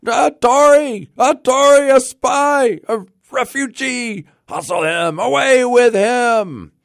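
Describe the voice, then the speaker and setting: An excitable village bystander from a storybook, acted by a male reader. The voice leaps very high and cries out shrilly, with a dry, close sound. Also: slow, vocal fry, no echo, heavily acted. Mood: outraged. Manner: crying out shrilly. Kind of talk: storybook character reading.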